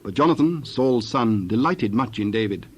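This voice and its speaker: Medium-pitched male Bible narrator. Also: lively intonation, husky. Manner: measured, formal storytelling.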